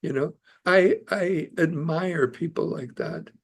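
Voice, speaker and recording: An older man born in Canada speaks as a guest on a podcast, earnest and reflective, with a somewhat high voice. He pauses often on fillers and restarts his sentences. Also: vocal fry. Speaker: immigration lawyer and author, podcast guest. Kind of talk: casual interview conversation.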